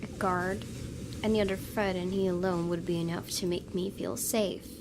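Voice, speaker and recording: A woman's voice: lowish voice, steady tone, normal speed. A woman hosting a gaming video, reading a visual novel aloud expressively and slipping into casual asides. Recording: noisy background.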